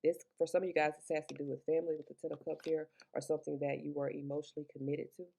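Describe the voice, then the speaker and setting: A woman with a deep voice giving a casual, rambling tarot reading. She talks chatty and loose, swears now and then, and records through Bluetooth earbuds. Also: level tone, very fast, gravelly, mellow. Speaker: tarot reader.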